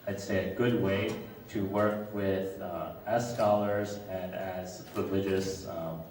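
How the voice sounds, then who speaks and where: A man asking a panel a question in a halting way, searching for his words. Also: low voice, steady tone. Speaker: audience member asking a question. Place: large room at a public panel event. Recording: echoey hall, distant mic, loud noise.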